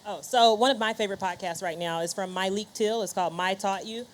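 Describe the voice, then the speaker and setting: A woman's voice: mid-range voice, fast. Woman off-mic in a noisy room. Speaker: panel guest. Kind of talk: casual spoken recommendation.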